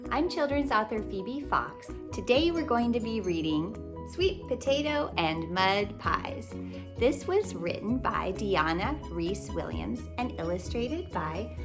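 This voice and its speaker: A woman hosting a read-aloud as a children's author, narrating a picture book in a deliberate, animated way for young children. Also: medium pitch, music in the background. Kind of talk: expressive children's storybook narration.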